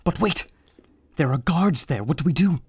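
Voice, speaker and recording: A man's voice: a little high, expressive, moderate pace, husky, no room sound. A man playing an anxious, earnest adventurer hero, urgent and dramatic, in an old-time radio adventure.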